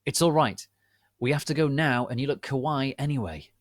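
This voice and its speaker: A man's voice: mid-range voice, moderate pace, no echo, studio quality, pitched above the performer's own voice, close to an everyday voice. Man playing an earnest smitten goth boy. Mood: coaxing.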